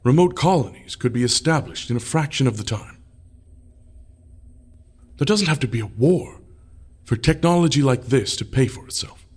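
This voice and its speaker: A man's voice: medium pitch, expressive, normal speed, long pauses, husky. A man playing a plain-spoken fleet engineer. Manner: plain, unrehearsed explanation. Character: male fleet designer presenting ship plans, measured. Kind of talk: audio drama acting.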